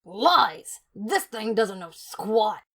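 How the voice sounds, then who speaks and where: A woman voicing a hot-tempered, grumpy female monster who speaks in a gruff, accusing shout. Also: mid-range voice, sing-song, extremely slow, full character voice. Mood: angry, accusing.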